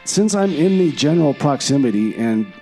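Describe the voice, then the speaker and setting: Casting: male actor as laid-back time-traveling caller, soft mellow tone, offhand rambling, faint guitar music underneath. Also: medium pitch, big pitch swings, moderate pace. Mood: casual, rambling. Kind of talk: casual comedy radio monologue.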